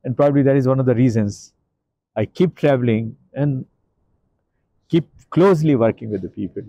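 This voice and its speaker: An older man talks earnestly in a stone courtyard outdoors, leaning on repetition to drive each point home. His voice is mellow and warm, picked up dry and close on a lapel mic. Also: mid-range voice, moderate pace, no room sound, muffled recording. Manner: earnest, emphatic, repeats himself for emphasis. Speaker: interview guest. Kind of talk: outdoor walking interview.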